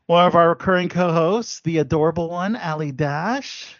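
A man's voice: a little high, moderate pace, a bit bright, dry recording, highs cut off. A man hosting a casual podcast panel, upbeat and laughing often, with plenty of fillers. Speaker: podcast host.

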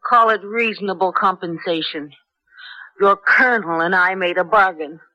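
An actress playing a bitter, defiant young American former prisoner, speaking with deliberate intensity in a 1970s radio drama. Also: female voice, on the low side, bright, forceful tone, dry recording. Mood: grave defiance. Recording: dull vintage radio recording.

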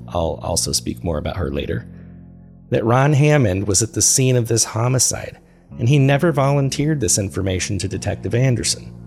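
Low, creaky paranormal podcast host. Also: male voice, darkish tone. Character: male paranormal podcast host, earnest, uneasy investigator. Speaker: podcast host and narrator of a fictional horror documentary. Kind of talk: scripted documentary-style narration, confiding recap.

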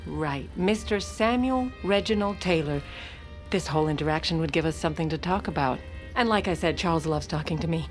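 An actress playing a sardonic, self-assured First Lady in her forties, narrating an audio drama with deadpan, haughty wit. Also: deep voice, a little fast, soft background music, natural voice. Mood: smugly name-dropping. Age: 40s.

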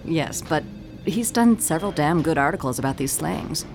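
Weary female detective, thirties, lowish pitch, blunt, guarded, audio drama, music behind. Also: lively intonation, normal speed. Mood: guarded, frank.

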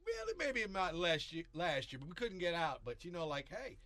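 A man in his sixties talks football with a podcast partner in a loose, folksy way. His voice runs a little high and swings widely in pitch, with a bright edge. The sound is close and dry, with no room around it. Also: normal speed, dry recording. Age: 60s. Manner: animated, chuckling, folksy slang. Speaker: sports podcast co-host. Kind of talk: casual sports chat.

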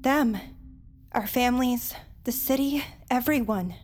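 A woman's voice: fairly high pitch, a little slow, darkish tone, faint music behind, natural voice. Woman playing a determined, sarcastic teenage girl. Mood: insistent. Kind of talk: audio drama acting.

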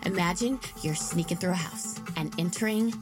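An actress playing a relentlessly upbeat yet sinister fitness instructor in an audio drama, coaching in a peppy, commanding way with motivational slogans. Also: female voice, fairly low pitch, moderate pace, music in the background, natural voice. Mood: upbeat, controlled.

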